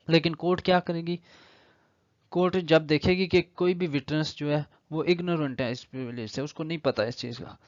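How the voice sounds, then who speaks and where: A man with a Pakistani accent giving an explanatory law lecture, deliberately reading legal text, then repeating and rephrasing each point. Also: on the high side. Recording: echoey room, dull lo-fi audio.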